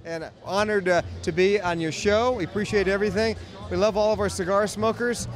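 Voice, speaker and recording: A man's voice: high-pitched, fairly even intonation, brisk. A man from a cigar company speaking heartily and gratefully to a show host in a large convention hall.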